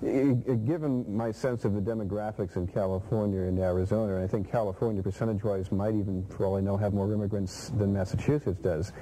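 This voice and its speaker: An older male news anchor speaks in a low, very dark voice. He reads the news and questions a reporter in a measured, halting way. He is recorded in a TV studio on a lapel mic. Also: steady tone, slight background noise, rough recording. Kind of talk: studio news reading and interview.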